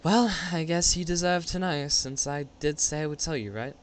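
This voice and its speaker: A man playing a frightened, guilt-ridden woman in her late twenties, halting and pleading, in an amateur radio play. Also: a little high, slight background noise, pitched above the performer's own voice, natural voice. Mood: confessing, shaken.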